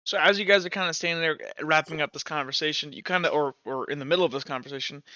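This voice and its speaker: A man narrating a tabletop roleplaying game as game master, improvising scenes in halting, loose phrasing. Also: a little high, bright, forceful tone, dry recording, dull audio.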